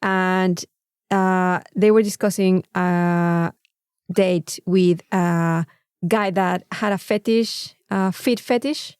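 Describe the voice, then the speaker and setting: A woman with a Mexican accent and Spanish as her first language, chatting casually as a podcast co-host and telling stories with quick interjections. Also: fairly low pitch, steady tone, a little mellow, clean tone, dry recording, clean studio sound. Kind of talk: casual chat.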